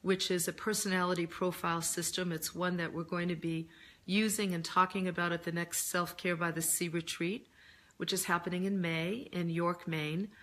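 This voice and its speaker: A middle-aged woman talks through a casual live chat with her readers, her voice hoarse and lower than usual as she recovers from laryngitis. She answers questions gently and thoughtfully, pausing often with soft ums. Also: flat delivery. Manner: gentle and reflective, many ums. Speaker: author hosting a live reader Q&A.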